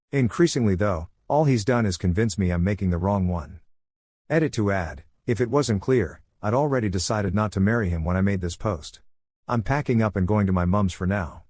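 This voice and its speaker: Man, low voice, dry close sound, steady storytelling read. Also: no echo. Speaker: story reader. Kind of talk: reading online posts aloud.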